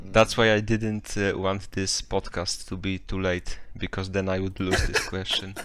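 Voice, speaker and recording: Deep, flat-voiced young Polish man. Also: measured pace, clean tone, dry recording. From Poland. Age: young adult. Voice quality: resonant. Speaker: podcast guest. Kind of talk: casual chat.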